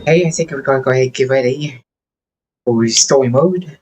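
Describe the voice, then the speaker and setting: A man's voice: mid-range voice, brisk, a little mellow, a little hiss or hum. A man livestreaming a video game, casually muttering short comments to himself as he plays.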